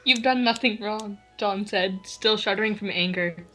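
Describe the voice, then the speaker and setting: A woman's voice: mid-range voice, normal speed, fairly bright tone, low-quality recording. A woman reading a story aloud with friends, with small restarts and the odd laugh. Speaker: reader in a group fan fiction reading. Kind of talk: casual dramatic reading. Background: faint classical music.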